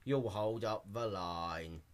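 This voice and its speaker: Deadpan bureaucrat pony, male reader, low, slow and utterly monotone. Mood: flat. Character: monotone, emotionless, rule-bound male pony clerk.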